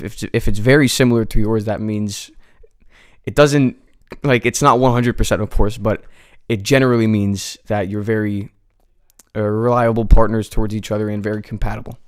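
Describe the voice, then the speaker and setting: A man's voice: lowish voice, fairly even intonation, normal speed, clean tone. A nineteen-year-old man from the United States, a guest chatting casually in a radio studio, with lots of fillers and restarts. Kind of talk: casual interview chat.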